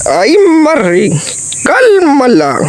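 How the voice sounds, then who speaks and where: A woman's voice: sits high, wildly swooping pitch, drawn-out words, exaggerated voice. A woman playing a soothing, boastful village liniment seller in a comic radio commercial skit. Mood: soothing, salesy.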